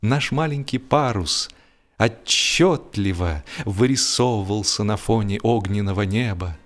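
A man narrating a humorous literary story in a theatrical, mock-lofty manner, with expressive phrasing and deliberate pauses. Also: lowish voice. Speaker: audiobook narrator. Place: studio. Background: music.

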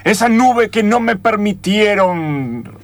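A man's voice: high voice, animated. An Argentine man playing a defiant mad meteorologist villain in an improvised comic radio play, theatrical and indignant. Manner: theatrical, indignant, drawn-out proclamations.

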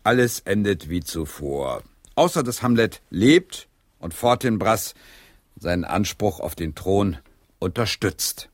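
An eager playwright and troupe leader in a radio drama. His delivery is theatrical and restless, with pitch that swings widely. The sound is dry and close, as he declaims to his company. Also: expressive, slow, dry recording. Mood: eager, scheming. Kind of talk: German radio play acting.

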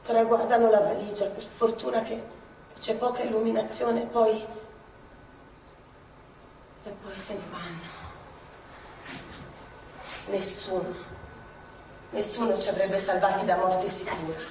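A woman's voice: mid-range voice, fairly even intonation, warm tone, close to an everyday voice. An actress playing a plain-spoken, fiery former partisan woman, telling war memories in an emphatic, colloquial theatrical monologue. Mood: tense, recounting. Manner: colloquial, emphatic, halting for effect. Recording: echoey theatre, distant mic, faint noise.

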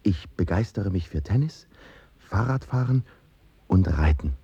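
An actor playing an intense Soviet avant-garde filmmaker in a German radio play, husky and deliberate, declaiming in short phrases. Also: male voice, sits low, expressive, mellow, dull audio, exaggerated voice. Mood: detached. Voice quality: husky, rough.

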